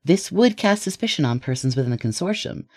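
An actor playing a composed, formal evening news anchor in an audio drama, reading scripted stories in a measured way. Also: medium pitch, expressive, darkish tone, no room sound, lightly acted.

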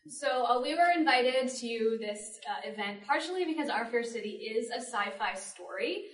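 A woman's voice: fairly high pitch, animated, moderate pace. Roomy, distant woman hosting a panel. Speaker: panel host and moderator. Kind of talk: live panel moderation, casual and conversational. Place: public library event room. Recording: roomy live panel recording, distant mic.

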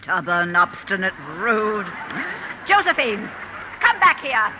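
A woman's voice: medium pitch, very animated, slow. A woman acting a stubborn, imperious old great-aunt in a 1950s radio drama, scolding emphatically. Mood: cross, imperious. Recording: noisy vintage radio recording.

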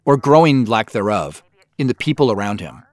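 A man giving scripted behind-the-scenes commentary as a show's creator, explaining in a measured way. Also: mid-range voice, lively intonation.

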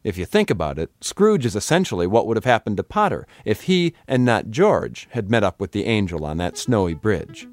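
A man's voice: on the low side, big pitch swings, brisk, few pauses, dry recording, studio quality. A man hosting a radio program with scripted narration. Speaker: radio host.

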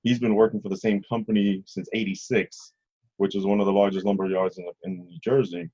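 A middle-aged man telling stories casually as an interview guest, husky, rambling and often checking in with the listener. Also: fairly low pitch, steady tone, brisk, warm tone. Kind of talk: casual conversational storytelling. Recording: slightly dull, lo-fi audio.

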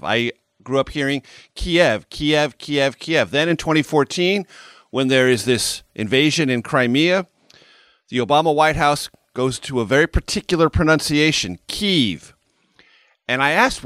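A man hosting an interview podcast, asking long explanatory questions in a measured way. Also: medium pitch, a bit bright, dry recording, studio quality. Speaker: podcast host.

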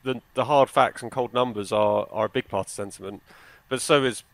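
A man's voice: mid-range voice, a little fast, dry recording. A man with a mid-range voice talks casually as a panellist. He is informal and leans on his words for emphasis, and the sound is dry with no room around it. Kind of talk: casual discussion.

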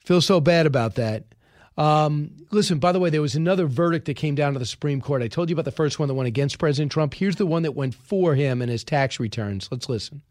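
A male radio host talking briskly and conversationally, giving opinions and taking calls. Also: on the high side, a little mellow. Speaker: radio talk show host. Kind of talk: talk radio.

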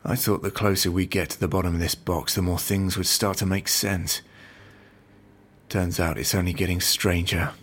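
Low-voiced, cautious investigating agent. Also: male voice, flat delivery, moderate pace, breathy edge. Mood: puzzled, dry. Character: calm, cautious male investigating agent, dryly noncommittal. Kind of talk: naturalistic audio drama acting.

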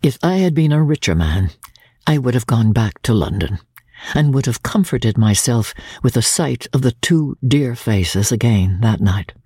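A man reading a classic novel aloud as a narrator, in a measured, formal, dramatic storytelling manner. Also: mid-range voice, lively intonation, warm tone, husky, no room sound, clean studio sound. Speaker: audiobook narrator.

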